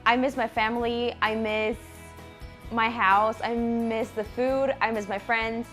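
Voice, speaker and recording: A woman from Mexico, an international student, speaks earnestly in short plain sentences. Her voice sits fairly high. Faint music plays behind her. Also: flat delivery, measured pace, fairly bright tone. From Monterrey, Mexico.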